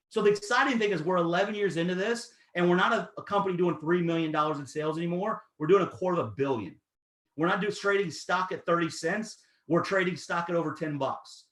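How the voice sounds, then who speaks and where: A man in his thirties giving an energetic, persuasive sales pitch, talking straight to his audience. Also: roomy sound. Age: 30s. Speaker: network marketing presenter.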